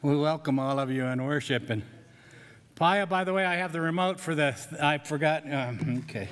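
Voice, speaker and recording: A man's voice: on the high side, moderate pace, a bit bright, clean tone. An older man leading worship, giving church announcements in a chatty, self-deprecating way. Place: church sanctuary. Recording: long church hall reverb.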